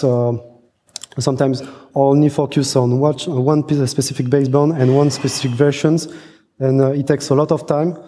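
A very dark, muted male voice rings through a big, reverberant hall. He is a non-native English speaker presenting technical research, and he moves haltingly, doubling back on phrases as he goes. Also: mid-range voice. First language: not a native English speaker. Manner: halting, repeats and restarts phrases mid-sentence. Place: large conference hall. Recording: echoey hall, long reverb, muffled.

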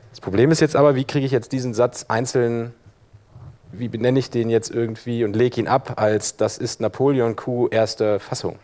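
Guy giving a laid-back software tutorial live in a room, kinda thinking out loud, medium pitched voice. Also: fairly even intonation, normal speed. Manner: casual, thinking aloud while demonstrating. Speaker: workshop presenter. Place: live talk in a conference room.

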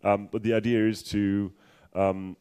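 Low, mellow-voiced Danish man presenting. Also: little pitch movement, unhurried, clean tone. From Denmark. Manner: hesitant, many ums and restarts, dry humor. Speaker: conference speaker. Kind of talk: technical conference talk.

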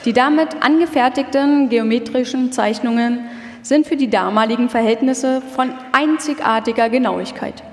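A woman's voice: on the high side, moderate pace. A woman reading scripted documentary narration live, as a narrator of a radio feature. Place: live stage in a large hall. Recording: echoey hall with long reverb.

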